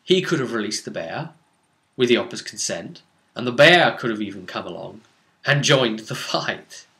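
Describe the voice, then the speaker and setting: A man giving a casual, conversational recap of a role-playing game session as its game master, leaning on drawn-out words for emphasis. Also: medium pitch, expressive, gravelly, bright, forceful tone.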